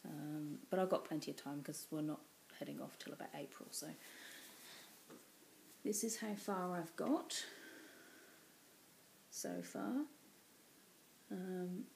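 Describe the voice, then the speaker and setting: A woman's voice: on the low side, creaky, warm tone, slight background noise, rough recording. An English woman in her thirties chatting casually on a home craft vlog, rambling with lots of ums. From England. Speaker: cross-stitch vlogger. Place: small room indoors at home. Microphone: built-in webcam mic at close range.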